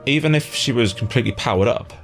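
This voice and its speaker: A man's voice: medium pitch, fast, soft background music. A man interviewing in a casual, informal chat, talking loosely and freely.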